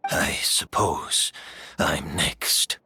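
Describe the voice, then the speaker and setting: Gentle resigned master, slow and bright voice, polite, faint music under it. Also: male voice, rumbling low, a little hiss or hum, heavily acted. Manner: wistful, measured, polite. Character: resigned, gentle male master mourning his fate. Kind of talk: video game drama acting.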